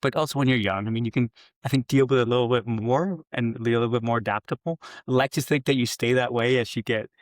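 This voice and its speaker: A middle-aged man from Denmark talks in a casual interview at a brisk pace. He is candid and informal, poking fun at himself. The recording is close and dry. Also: medium pitch, dry recording. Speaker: podcast guest, business executive.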